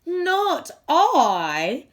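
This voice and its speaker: Woman putting on a loud, gossipy goose. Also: high-pitched, wildly swooping pitch, extremely slow, pitched above the performer's own voice, full character voice. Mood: dismissive. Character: gossipy, lazy storybook goose.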